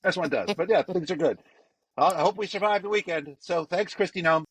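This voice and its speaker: A man co-hosting a podcast, joking in a deadpan, mock-serious way. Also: high voice, fairly quick, slightly rough, no echo. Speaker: podcast co-host. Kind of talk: casual podcast chat.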